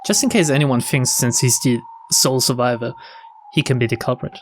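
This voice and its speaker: A man's voice: medium pitch, a little fast. A man hosting a game playthrough, reading the text aloud and adding casual commentary. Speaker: let's play host. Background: game music.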